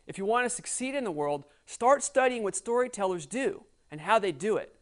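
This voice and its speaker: Measured voice reading a scripted essay. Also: medium pitch, expressive. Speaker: essay narrator. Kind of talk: scripted narration.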